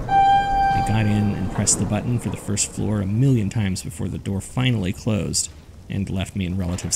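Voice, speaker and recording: A man's voice: lowish voice, gravelly. A man reading scripted narration as the host of a horror fiction podcast. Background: background music.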